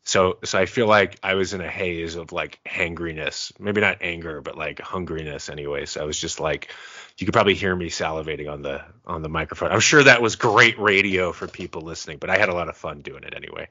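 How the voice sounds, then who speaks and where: Joking co-host, man, lowish voice, sharp and forceful, muffled lo-fi sound. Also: brisk. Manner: teasing, joking. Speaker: podcast co-host. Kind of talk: casual chat. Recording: dull lo-fi sound.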